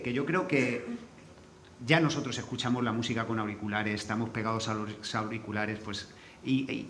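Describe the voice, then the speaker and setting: A man's voice: lowish voice, a bit bright. A man with a Castilian accent from Spain, talking informally on a panel as a sound designer, colloquial and loose with swearing. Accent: Spanish from Spain. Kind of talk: informal panel talk with anecdotes. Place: indoor forum venue. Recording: echoey room, faint background noise.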